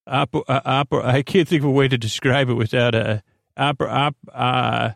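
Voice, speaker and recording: Man, medium pitch, creaky fry, bedtime podcast host, lulling rambles, self-interrupting tangents. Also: fairly even intonation, moderate pace, no echo, clean studio sound. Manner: meandering, hesitant, repeats and interrupts himself; lulling, soothing delivery.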